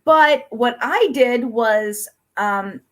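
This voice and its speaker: A chatty, upbeat middle-aged woman from Pennsylvania hosts a casual interview. Her voice sits a little high, and she laughs easily as she tells stories. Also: drawn-out words. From Pennsylvania, USA. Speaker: host.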